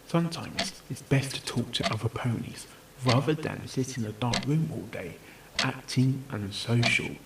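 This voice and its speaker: A man playing a patient, probing pony therapist in an audio drama, speaking in a calm, measured and firm way. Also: medium pitch, heavy background noise, natural voice. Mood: firm, coaxing. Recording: roomy voice over background music.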